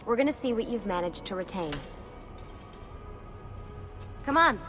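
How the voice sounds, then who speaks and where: A woman's voice: on the high side, expressive, quick pace, bright, forceful tone, soft background music. A woman playing a serious, determined young team leader in a video game, matter-of-fact and guarded. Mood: brisk, instructing. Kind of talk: video game cutscene dialogue. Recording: clear background ambience noise.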